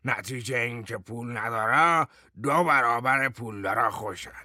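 A man's voice: mid-range voice, bright, forceful tone, no echo, clean studio sound. A man voicing a sullen, ragged old father-figure who lectures like a stern schoolmaster in an audiobook story. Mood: mock-solemn. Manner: stern, deliberate mock-schoolmaster delivery.

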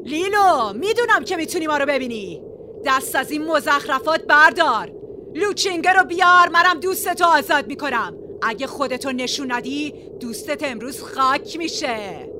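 A man's voice: extremely high pitch, hardly any pauses, background noise, pitched above the performer's own voice. A man voicing a cruel, arrogant young villain who taunts and threatens, in a storybook reading. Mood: shouting taunts. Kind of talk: audiobook character voice.

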